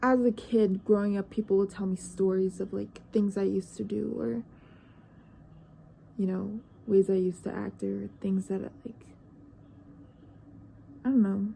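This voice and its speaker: Nineteen-year-old woman, vlogger; hesitant, reflective monologue, dark mellow tone. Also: medium pitch, fairly even intonation, measured pace, gravelly, pauses often, slight background noise. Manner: searching, hesitant, trails off into questions.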